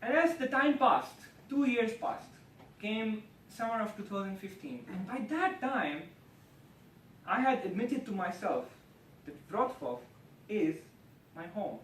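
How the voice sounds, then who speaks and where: A man, giving a dramatic club speech and swinging between loud exclamations and hushed asides. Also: sits high, expressive, unhurried. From Pakistan. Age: late 20s. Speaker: public speaking club member. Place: meeting room. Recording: echoey room, distant mic, faint noise.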